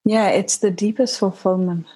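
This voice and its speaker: A woman's voice: medium pitch, steady tone, warm tone, husky, rough recording. A woman from India, a guest on a podcast, talking casually and reflectively with lots of "like" and easy laughter. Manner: reflective and unhurried, full of "like", laughs easily. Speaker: podcast guest, healing facilitator.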